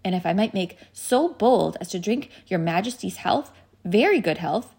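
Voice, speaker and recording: A woman's voice: medium pitch, expressive, normal speed, vocal fry, a little mellow, husky. A woman voicing a timid, courteous old male fox who speaks deferentially and nervously in a storybook reading. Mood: nervous deference.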